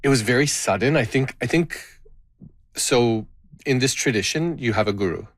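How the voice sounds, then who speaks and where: A man in his thirties, born in Canada with Gujarati as his first language, speaking as a guest about his life, reflective and halting with frequent restarts. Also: mid-range voice, expressive, no room sound. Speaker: guest, tabla player and educator. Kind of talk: interview conversation.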